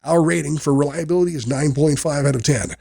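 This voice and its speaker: A man narrating a scripted product review in a measured, explanatory way. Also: on the high side, gravelly, mellow, breathy edge.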